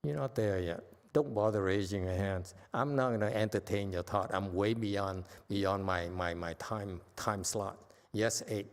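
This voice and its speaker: Vietnamese-accented monk lecturing, low voice, pokes fun at his students, keeps saying okay, big reverby temple hall. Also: measured pace. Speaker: Buddhist teacher. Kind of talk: dharma lecture.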